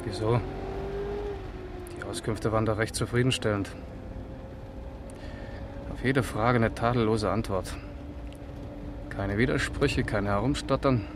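Low, dry police investigator, car noise male actor. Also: fairly even intonation, a little slow, pauses often, lightly acted. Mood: dryly ironic. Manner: dry, ironic, clipped. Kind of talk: 1970s German radio crime drama acting. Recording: clear car noise behind.

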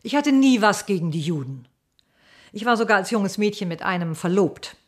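A woman's voice: mid-range voice, expressive, normal speed, lightly acted. A woman playing a cold, self-justifying older woman in a radio play, insisting in short statements. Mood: defensive. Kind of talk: radio play character monologue.

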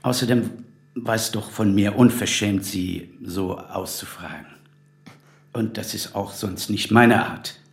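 A lowish, gravelly male voice with clear room echo. He is a middle-aged exile in a radio drama, courteous and grave, speaking in a measured, natural way. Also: fairly even intonation. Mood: apologetic. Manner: polite, measured.

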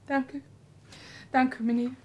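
A woman's voice: sits high, flat delivery, unhurried, darkish tone. An actress playing a weary, suspicious middle-aged mother hardened by trouble, curt and grumbling in a radio drama. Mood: subdued. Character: weary, suspicious, hard-bitten mother of a criminal. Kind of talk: Dutch radio play acting. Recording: clearly noisy radio drama recording.

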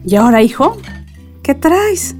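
An actress playing a doting, fretful middle-aged village mother with a Mexican accent, exclamatory and expressive, in a radio drama. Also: sits high, measured pace, soft background music. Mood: teasing delight.

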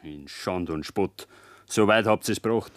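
An actor playing a bitter, stubborn young farmer's son in a radio drama, brooding and accusing in Bavarian dialect. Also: male voice, deep voice, measured pace, dry recording, studio quality. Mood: sullen, reproachful.

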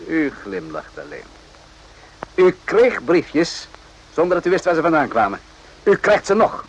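An actor playing a courteous but probing army captain in a radio play, questioning in a measured, pointed way. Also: male voice, a little high, wildly swooping pitch, breathy edge, heavily acted, rough recording. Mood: insinuating.

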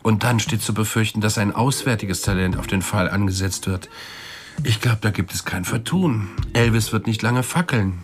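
Actor as a chummy, low-voiced debt collector. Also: male voice, moderate pace, lightly acted. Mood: coolly explaining. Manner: casual, wry, matter-of-fact about threats. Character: chatty, cynical male debt collector, menacing yet chummy. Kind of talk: German radio drama acting.

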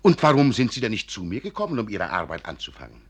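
An actor playing an eccentric older archivist in a German radio play, blustering and slyly amused, with a raspy, chuckling delivery. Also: male voice, on the low side, big pitch swings. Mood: brisk questioning. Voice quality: raspy, rough-edged. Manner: chuckling, exclamatory, theatrically deliberate.

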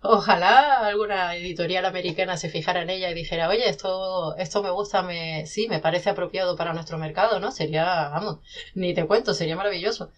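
A woman's voice: lowish voice, a little fast, lo-fi sound. A woman, a self-published novelist, talking animatedly in a casual podcast conversation with many restarts.

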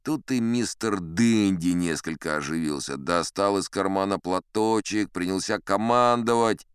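An actor playing a boastful old sea captain, spinning tall tales in a self-satisfied, chatty storybook narration. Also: male voice, low-pitched, unhurried, smooth, no room sound, studio quality. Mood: animated boasting. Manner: self-satisfied, conversational raconteur. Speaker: audiobook narrator in character. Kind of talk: theatrical first-person storybook narration.

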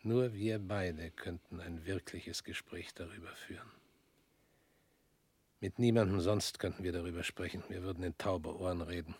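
An actor in a radio play voicing a weary former prosecutor, measured and probing, sometimes dropping to a near whisper. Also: male voice, deep voice, fairly even intonation, a little mellow, slightly rough, dry recording.

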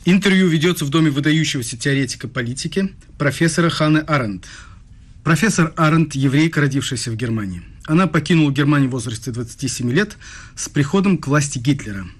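A man's voice: on the high side, expressive, fast talker, breathy edge. An actor playing an earnest, slightly awkward interviewer in a radio play, formal and probing in his delivery. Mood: flustered, formal.

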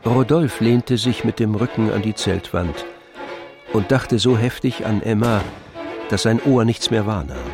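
A male narrator with a fairly low voice reads literary prose for a radio drama. Music plays softly behind him. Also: expressive, unhurried.

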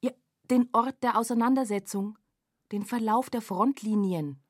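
A woman's voice: fairly high pitch, moderate pace, no echo, studio quality, lightly acted. A woman acting as a sardonic observer in a literary radio play. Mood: urgent, sardonic. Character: sardonic female observer commenting on a panorama.

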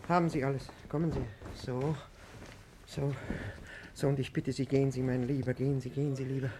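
An actor playing a gentle, grateful, insistent man in a 1950s radio drama, pleading and often repeating his words. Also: medium pitch, level tone, brisk, background noise, close to an everyday voice. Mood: urging, fond.

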